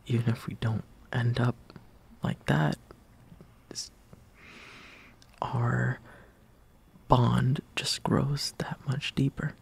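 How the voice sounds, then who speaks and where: A young man performing an intimate roleplay monologue as a lovestruck, insecure friend, in a hesitant, breathy half-whisper that trails off. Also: mid-range voice, little pitch movement, extremely slow, pauses often. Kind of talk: soft intimate roleplay monologue, partly improvised.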